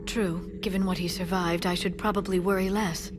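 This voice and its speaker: A woman playing a composed, earnest alien scholar and information broker in a video game, speaking in a measured, articulate way. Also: lowish voice, flat delivery, over music, close to an everyday voice. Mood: reflective, measured. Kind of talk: video game character dialogue. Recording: clear ambient noise behind game dialogue.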